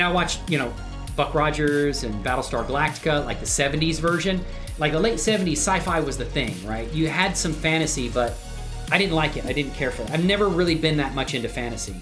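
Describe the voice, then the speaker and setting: A middle-aged man chatting informally and enthusiastically as he hosts a casual livestream demonstration. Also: on the high side. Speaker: livestream host and hobby animator.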